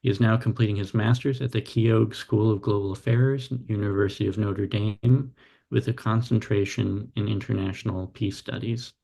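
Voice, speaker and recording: Gravelly man reading a formal welcome. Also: lowish voice, fairly even intonation, moderate pace, warm tone. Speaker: webinar host. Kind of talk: scripted introduction.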